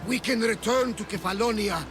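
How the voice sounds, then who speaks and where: An actor playing a loyal, devout older ship captain with a gravelly voice in a video game. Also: male voice, high voice, fairly quick, lightly acted. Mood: concerned. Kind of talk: video game cutscene acting. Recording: background music under the voice.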